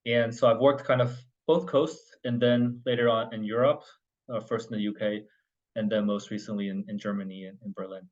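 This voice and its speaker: A man in his forties from Oklahoma, a webinar panelist on a video call, speaking in a relaxed, thoughtful way with small restarts. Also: on the low side, brisk. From United States (Oklahoma). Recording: muffled lo-fi call audio.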